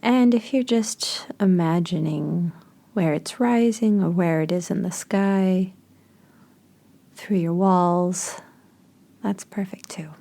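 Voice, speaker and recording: A woman guides a meditation in a dark, relaxed voice with plenty of vocal fry. Her delivery is soft and breathy, edging toward a whisper. She moves very slowly, leaving space between gentle, short phrases. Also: fairly low pitch.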